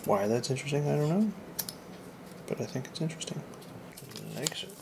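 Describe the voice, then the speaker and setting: Creaky-voiced repair host muttering over loud noise. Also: medium pitch, lively intonation, fairly quick. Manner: rambling, muttering, thinking aloud. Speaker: video host repairing electronics. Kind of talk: casual off-the-cuff repair commentary. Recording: noisy recording with clicks.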